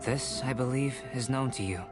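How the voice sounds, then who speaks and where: A composed young alchemist from a video game, as a man voices him, at a middle pitch, formal and measured. He explains his findings patiently and precisely. Game music sits clearly under his lines. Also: close to an everyday voice. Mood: calm. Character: calm young male alchemist scholar, composed.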